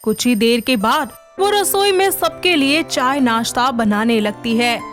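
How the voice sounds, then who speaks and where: Slow, high-voiced woman storyteller. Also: animated, fairly bright tone, soft background music. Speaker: narrator. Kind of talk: scripted story narration.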